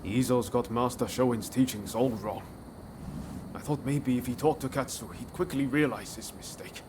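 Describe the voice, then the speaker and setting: A man's voice: medium pitch, moderate pace, noisy background, close to an everyday voice. An actor playing an earnest, idealistic swordsman in a video game, friendly and plain-spoken. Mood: concerned. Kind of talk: video game cutscene acting.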